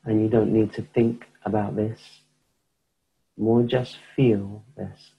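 A man guiding a slow meditation, speaking softly with long pauses between phrases. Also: fairly low pitch, flat delivery, gravelly, slightly rough, dull audio. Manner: slow, soft-spoken, pausing often. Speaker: meditation teacher. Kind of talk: guided meditation.

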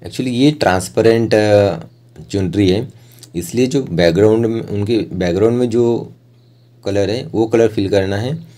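Man, Indian accent, very mellow low voice, tutorial narration, informal, halting short phrases. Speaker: rangoli art tutor.

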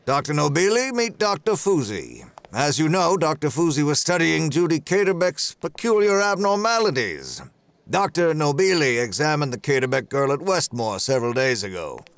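An actor playing a smooth, controlling executive of a drug company in an audio drama. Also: male voice, on the high side, big pitch swings, normal speed, fairly bright tone, dry recording. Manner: controlling, insistent. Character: smooth commanding male pharma executive. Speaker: corporate boss.

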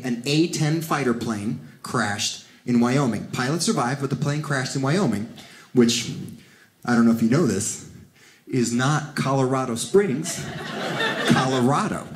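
A man in his thirties from Cuba, raised in Miami, doing a stand-up set on a theater stage with a handheld mic, switching into high, shouted character voices. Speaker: stand-up comedian. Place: theater stage before a live audience. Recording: roomy theater with audience ambience.

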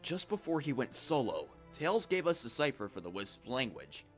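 A man voicing a gruff, hot-headed echidna commander, blunt and impatient, in a comic dub. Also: mid-range voice, very animated, moderate pace, natural voice. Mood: grumbling, serious. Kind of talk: amateur comic dub acting. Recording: music behind the voice.

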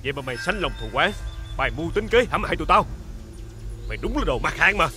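A poor young prisoner, southern vietnamese, earnest, bitter, highish voice, very up and down. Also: male voice, lively intonation, fast talker, sharp tone, soft background music. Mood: defiant. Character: defiant, loyal poor young man held captive. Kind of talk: dramatic acting in a period drama.

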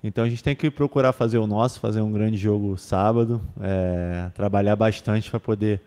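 A man's voice: on the low side, steady tone, normal speed, warm tone, big-room sound. A young man, a football defender, answering press questions in a casual way, with many fillers and restarts, into a table microphone. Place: club press room with sponsor backdrop. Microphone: table microphone on stand.

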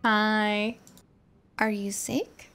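A woman streaming a game with casual, playful, animated commentary, exclaiming and teasing as she goes. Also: medium pitch, drawn-out words. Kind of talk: casual live gameplay commentary. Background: game music.

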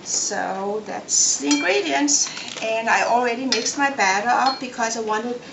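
A middle-aged woman with a Danish accent, giving a casual, unhurried cooking demonstration step by step. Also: fairly high pitch, gravelly, muffled recording. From Denmark. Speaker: home cook and cooking show host. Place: home kitchen, indoors. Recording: echoey room, loud noise, kitchen clatter.

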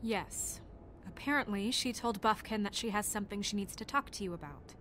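A woman's voice: mid-range voice, a little fast, a bit bright, slight background noise, lightly acted. An actress playing a stern, principled young woman deputy mayor in a video game, speaking in a firm, earnest way. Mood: businesslike. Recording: music behind the voice. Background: music.

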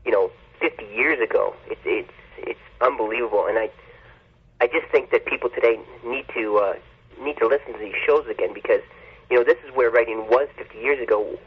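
A man talks casually as a podcast guest. His voice is husky and mid-pitched. The audio is muffled and lo-fi. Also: fairly quick. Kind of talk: casual interview chat.

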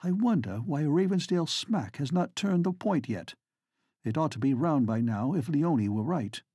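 Man doing a lovesick old-fashioned gentleman, passionate and a bit bitter, dark husky tone. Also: on the high side, animated, normal speed, no echo, studio quality. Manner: passionate, reproachful. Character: devoted lovelorn gentleman, wounded and bitter.

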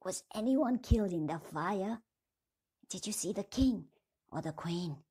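A woman's voice: mid-range voice, animated, measured pace, mellow, breathy edge. A woman reading a fairy tale, putting on the thin, half-whispered voice of an ancient old woman who has not spoken in decades. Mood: anxious. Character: ancient wary old woman, long silent.

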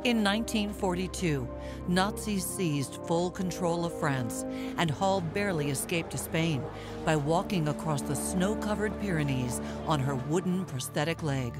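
A woman with a notably low voice narrates in a measured, formal voice-over. Clear music runs underneath her. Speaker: documentary narrator. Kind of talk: scripted documentary narration.

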